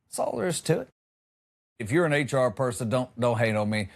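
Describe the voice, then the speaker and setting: A man's voice: mid-range voice, very animated, fairly quick, gravelly. A man delivering a comedic monologue as a podcast host, ranting in an exasperated, emphatic, sarcastic way.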